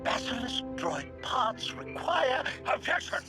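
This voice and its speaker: A man's voice: extremely high pitch, sing-song, slow pace, exaggerated voice. A man playing a homicidal assassin droid in a video game, with robotic processing and stilted, labelled statements. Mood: formal, glitchy. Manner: stilted, prefacing statements with one-word labels. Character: homicidal assassin droid announcing stiffly in clipped commands. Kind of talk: video game character acting. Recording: loud music behind. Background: music.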